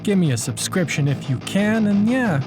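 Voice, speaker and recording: A man's voice: sits high, animated, moderate pace, over loud music. A man speaking informally and a little self-deprecatingly as a video creator addressing his viewers in a casual outro.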